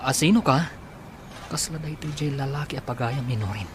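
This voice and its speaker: A man's voice: mid-range voice, fairly quick, noisy background, natural voice. An actor playing a weary, polite, hesitant young man in a radio drama, with a Filipino accent. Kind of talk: Ilocano radio drama acting.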